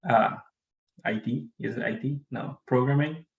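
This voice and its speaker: A man's voice: medium pitch, moderate pace, creaky, dull audio. Chatty man in an echoey room. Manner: rambling, chatty, easygoing. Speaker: student. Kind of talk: casual chat. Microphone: video call. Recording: roomy echo on a video call.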